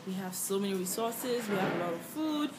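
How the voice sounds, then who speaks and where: A woman's voice: mid-range voice, expressive, brisk. A young woman from Cameroon, telling her story in an interview, earnest and reflective, with frequent fillers and restarts. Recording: clear background noise.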